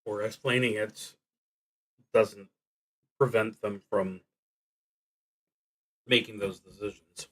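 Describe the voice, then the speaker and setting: A man with a lowish voice talks his way through a solo tabletop game. His delivery is very slow and deliberate, with halting stretches where he works out ideas aloud and lets thoughts trail off. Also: fairly even intonation, a bit bright, rough recording. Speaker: solo tabletop roleplaying streamer. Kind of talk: thinking aloud while playing a solo roleplaying game.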